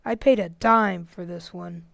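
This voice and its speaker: A woman's voice: fairly low pitch, a little slow, vocal fry, no echo. A woman playing a bossy, know-it-all older boy in an old-time radio family comedy. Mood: superior, matter-of-fact. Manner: superior, matter-of-fact.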